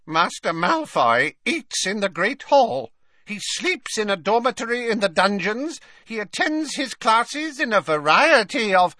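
A croaking old storybook house elf, pitched high with forceful delivery. He sounds sullen and servile, yet haughty. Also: sing-song, measured pace, no echo, clean studio sound, exaggerated voice. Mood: resentful.

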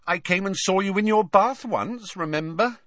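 Male reader as teenage girl ghost, high-pitched, plaintive, sulky, self-pitying, audiobook character. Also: normal speed, fairly bright tone, no echo, clean studio sound. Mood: plaintive, hopeful.

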